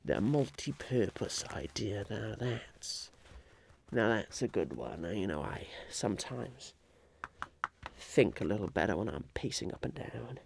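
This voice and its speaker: A man playing a scheming, eccentric businessman in an ASMR roleplay, musing haltingly and repeating his phrases. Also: fairly low pitch, sing-song, vocal fry, a little hiss or hum, heavily acted. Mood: pondering.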